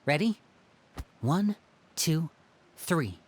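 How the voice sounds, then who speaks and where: A man playing a theatrical, bossy and playful young brother in a video game, with expressive, dramatic delivery. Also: fairly high pitch, extremely slow, slight background noise. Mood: conspiratorial, upbeat. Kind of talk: scripted game dialogue. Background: background music.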